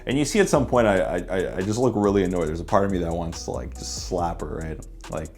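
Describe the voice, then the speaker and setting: A man's voice: deep voice, quick pace, gravelly, darkish tone. A man talking as a dating coach, giving a casual commentary breakdown of his own footage with lots of filler words. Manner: casual, laid-back, many fillers. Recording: faint background music.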